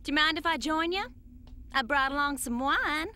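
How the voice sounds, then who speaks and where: A woman's voice: sits high, moderate pace, sharp tone. A woman playing a breezy, teasing young space cadet in an English anime dub, speaking informally. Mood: playful, teasing.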